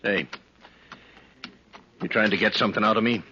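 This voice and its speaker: Gruff, low-voiced brooding ex-sailor. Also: male voice, normal speed, sharp tone. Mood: suspicious, prickly. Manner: gruff, clipped. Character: surly, brooding former sailor riding the trail. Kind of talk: 1950s radio western acting. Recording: noisy, muffled vintage radio recording.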